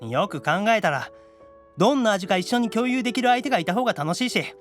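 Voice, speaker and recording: A man playing a whiny, excitable young demon otaku in an anime audio drama, dramatic and easily flustered. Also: sits high, brisk, soft background music. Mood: softened, apologetic.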